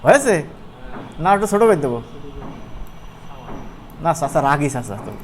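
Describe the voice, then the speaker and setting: A man with a Bangladeshi accent giving an informal, playful software tutorial as an instructor, often checking in with his listeners. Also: on the high side, big pitch swings, moderate pace, slight background noise, rough recording. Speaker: animation instructor.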